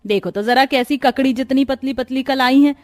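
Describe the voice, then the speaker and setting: A woman voicing a blunt, bossy middle-aged mother-in-law in a cartoon story, loud and teasing. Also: high voice, moderate pace, natural voice. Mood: brash teasing.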